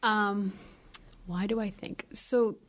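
A woman's voice: mid-range voice, steady tone, takes it slowly, crowd noise. A young woman who teaches yoga, chatting casually on a podcast, laughing often and correcting herself as she goes.

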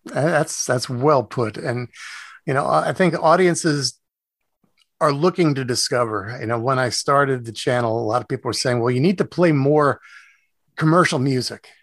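A man's voice: mid-range voice, moderate pace, no room sound. A man speaking as a radio host in a conversational interview, reflective and rephrasing his thoughts as he goes.

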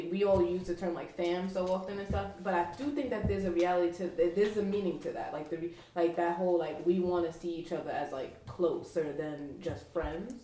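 A woman's voice: fairly low pitch, darkish tone. A woman in her late twenties from Brooklyn, chatting casually in a group, laughing often and using lots of fillers. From Brooklyn, New York. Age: late 20s. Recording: roomy, distant mic, faint noise.

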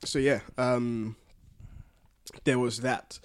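A man's voice: mid-range voice, level tone, drawn-out words, a little hiss or hum. British man, London accent, mid-range voice, slangy podcast co-host chatting casually. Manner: slangy and colloquial.

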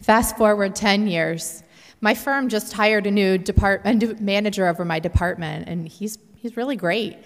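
Woman acting torn married career woman around thirty, lots of vocal fry, echoey hall. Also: medium pitch, moderate pace, lightly acted. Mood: flattered. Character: conflicted, restless married professional woman aged thirty. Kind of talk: dramatic monologue. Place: large reverberant hall. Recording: echoey hall with long reverb.

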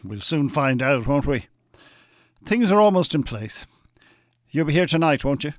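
An actor with an Irish accent playing a smooth, bossy, pompous hypnotist and con-artist in a radio drama. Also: male voice, a little high, very animated, moderate pace, no echo, clean studio sound. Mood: irritated, bossy.

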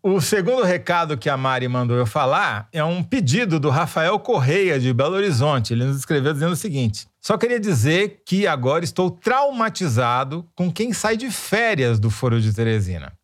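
A man co-hosting a panel podcast with a Brazilian Portuguese accent, reading listener messages in a wry, measured way. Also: a little high, big pitch swings. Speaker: podcast co-host.

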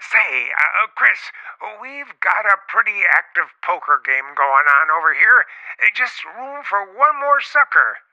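An actor playing a pushy, wisecracking pal in a 1950s radio drama, jocular and slangy, heard through a telephone filter. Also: male voice, high-pitched, sing-song, measured pace, pushed and bright, heavily acted. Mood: jovial, teasing. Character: breezy, pushy, wisecracking male buddy on the phone. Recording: tinny filtered phone line, vintage radio.

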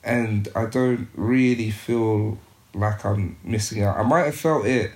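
Young male podcast guest, low voice, thoughtful and halting, roomy echo. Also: a little mellow. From United Kingdom. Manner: reflective, frequent restarts and "um"s. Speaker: podcast guest, youth worker. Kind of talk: casual interview conversation.

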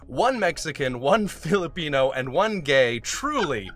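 Higher-voiced man co-hosting a show. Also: sing-song, unhurried. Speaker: podcast co-host. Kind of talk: scripted news reading and casual banter.

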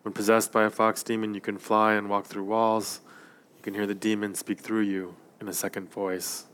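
Male reader, low smooth voice, calm reflective literary delivery. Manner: measured, reflective. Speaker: narrator. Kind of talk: literary reading.

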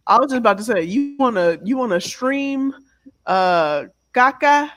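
A man's voice: very high-pitched, animated, measured pace. A man co-hosting a casual podcast, joking and teasing in loose banter. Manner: playful, teasing, repeats himself. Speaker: co-host.

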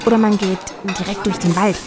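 A woman acts out a lonely village hotel receptionist for an audio drama. Her voice sits at an average pitch. She is earnest and literal, rambling on in painstaking detail. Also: fairly even intonation, brisk, a crowd in the background, close to an everyday voice. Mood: uneasy, rambling. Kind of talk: German audio drama acting.

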